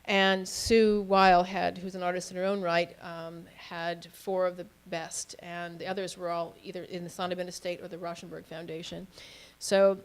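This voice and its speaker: A woman's voice: fairly low pitch, little pitch movement, normal speed, rough recording. A woman telling anecdotes informally as a panelist at a talk. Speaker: panelist, auction house specialist.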